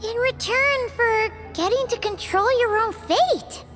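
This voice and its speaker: A woman's voice: very high-pitched, unhurried, a bit bright, faint music behind. A woman voicing a tiny floating fairy companion who talks about herself in the third person in a game cutscene. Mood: curious. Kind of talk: video game cutscene voice acting.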